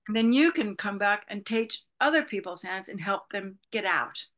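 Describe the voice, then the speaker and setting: A woman's voice: medium pitch, animated, normal speed, fairly bright tone. A woman in her sixties from California, a Buddhist retreat leader talking reflectively as an interview guest, rambling with many "you know"s. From Berkeley, California, USA. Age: 60s. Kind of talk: reflective casual conversation.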